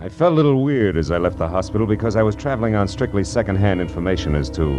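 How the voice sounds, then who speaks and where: Man playing hard-boiled detective, low register, American accent, sardonic, weary, vintage radio crime drama, music underneath. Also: animated, quick pace, close to an everyday voice. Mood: brooding narration. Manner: sardonic, dry wisecracks. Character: wry, world-weary male private detective. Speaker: actor, lead and narrator. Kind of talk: 1940s radio detective acting, narration and dialogue. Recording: old radio recording with music behind.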